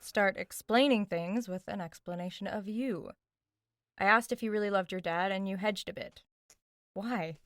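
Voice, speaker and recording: An actress plays a young American woman in an audio drama, a skeptical friend who probes with dry, sardonic directness. Her voice is medium in pitch and conversational, in a close recording with almost no room sound. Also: normal speed, fairly bright tone, dry recording, close to an everyday voice. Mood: probing, skeptical. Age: young adult. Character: wry, probing young woman, skeptical but loyal friend. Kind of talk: audio drama acting, naturalistic dialogue.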